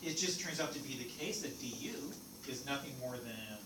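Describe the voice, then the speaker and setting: A man whose first language is French teaches a math lecture, and his pitch swings up and down as he quizzes the class. He is recorded from a distance in an echoey classroom. Steady noise runs under his voice. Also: normal speed. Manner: lively, playful teacher asking the class questions. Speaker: math instructor.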